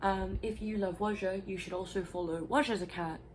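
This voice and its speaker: A woman's voice: mid-range voice, steady tone, normal speed, a little hiss or hum. A woman vlogger talking casually and at length, rambling a little and swearing now and then. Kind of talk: casual explanatory vlog.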